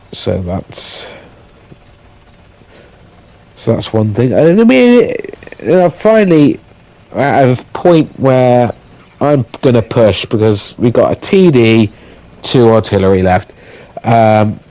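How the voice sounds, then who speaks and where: Male gaming commentator, slightly low, croaky and congested, halting voiceover full of fillers. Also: dark tone, poor audio. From United Kingdom. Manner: halting, with many fillers and restarts. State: croaky voice with a blocked nose from illness.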